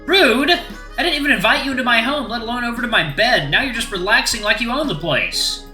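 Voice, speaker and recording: A performer voicing a panicked, indignant young human who sputters in shrill exasperation. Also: lively intonation, fairly quick, sharp tone, reverberant, soft background music.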